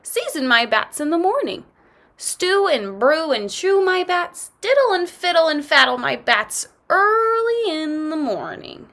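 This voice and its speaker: A woman voicing a gloating witch from a storybook, crooning and chanting in a drawn-out singsong. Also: very high-pitched, sing-song, fairly bright tone, voice pushed up, heavily acted. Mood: crooning, gleeful. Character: crooning, gloating old swamp witch casting rhyming fortunes.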